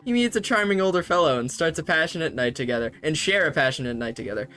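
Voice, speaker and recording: A man's voice: fairly high pitch, lively intonation, fast, pushed and bright. A young man hosting a comedy video, reading fanfiction aloud with sarcastic asides and frequent laughter. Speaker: host.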